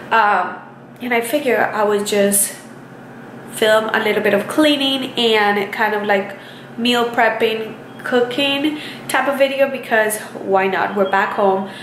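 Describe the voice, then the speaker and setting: A young woman and mother chatting casually to the camera in her home kitchen, relaxed and a little rambling. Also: a little high, slow pace, a little hiss or hum, poor audio. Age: young adult. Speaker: vlogger, mom.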